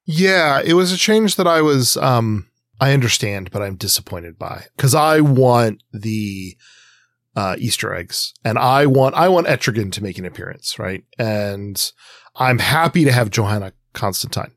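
A man chats as a podcast co-host, giving casual critique in a voice of average pitch. He is informal and opinionated, and the recording is tight and dry with no room sound. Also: lively intonation, a little slow, no room sound.

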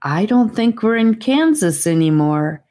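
A woman's voice: medium pitch, big pitch swings, measured pace, warm tone, pitched above the performer's own voice. A woman voicing an amazed young girl adventurer in a children's audiobook, speaking with wonder. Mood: quiet awe.

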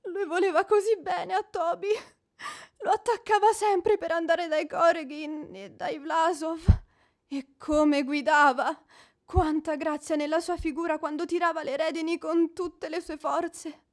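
A woman's voice: extremely high pitch, steady tone, a little slow. A woman acting in a radio drama as an inconsolable, proud young widow, theatrical and declamatory. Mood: passionate grief. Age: young adult. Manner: declamatory, mournful.

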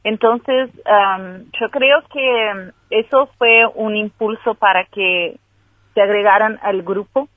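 Female guest, Brazilian Portuguese accent, slow and hesitant over a tinny line. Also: mid-range voice, steady tone, no room sound. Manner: hesitant, laughs often. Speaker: guest filmmaker.

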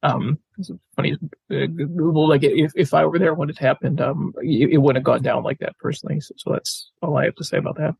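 A man chatting as a podcast guest in a halting, stammering way. His voice is low-keyed in energy, rough with fry and husky at the edges. The sound is dry, with little room around it. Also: steady tone, darkish tone, dry recording, poor audio. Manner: halting, stammering, repeats words. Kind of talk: casual interview talk. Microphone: video call.

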